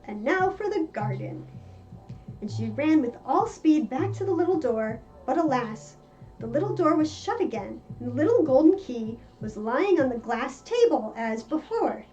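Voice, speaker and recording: A woman reading a children's story aloud in a theatrical, expressive manner. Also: high-pitched, normal speed. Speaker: storybook reader, cosplayer in character. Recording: muffled lo-fi with music behind. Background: faint music.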